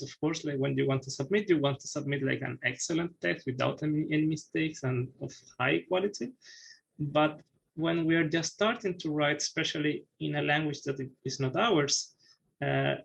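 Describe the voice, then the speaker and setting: A man's voice: a little high, vocal fry, low-quality recording. A man in his thirties from Chile, a native Spanish speaker with a Chilean accent, giving an online academic writing workshop over a video call with many fillers and restarts. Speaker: workshop presenter. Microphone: video call webcam.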